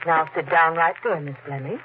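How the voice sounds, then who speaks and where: An actress playing a sinister, falsely soothing nurse in a 1940s radio mystery, coaxing with quiet menace. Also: female voice, low voice, normal speed, natural voice. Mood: smooth, coaxing. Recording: noisy, muffled 1940s radio recording.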